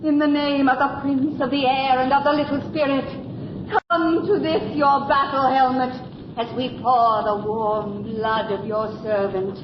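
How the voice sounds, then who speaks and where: A woman's voice: sits high, little pitch movement, unhurried, highs cut off. An actress playing an eerie forest witch who summons the dead in a gothic horror radio drama, with a solemn, incantatory delivery. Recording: echoey, distant, noisy vintage radio recording.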